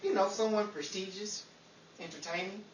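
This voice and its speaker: A woman's voice: mid-range voice, normal speed, soft background music, natural voice. A woman playing a girl-crazy, pleading bachelor sidekick with a hesitant, wheedling manner, in 1950s radio comedy style. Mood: coaxing. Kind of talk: 1950s old-time radio comedy acting. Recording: roomy, distant, noisy live audience recording.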